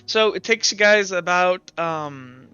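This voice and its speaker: Male game master, higher-pitched and very clear, informal and halting, improvising narration over faint music. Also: sharp tone, no room sound, dull audio.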